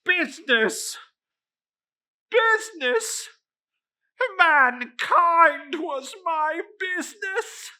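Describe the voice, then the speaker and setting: A man's voice: very high-pitched, lively intonation, pushed and bright, voice pushed up, full character voice. A man voicing the tormented ghost of a dead businessman in a dramatic storybook reading, wailing and drawing out his solemn warnings. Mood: anguished. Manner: wailing, drawn-out, ominous declamation.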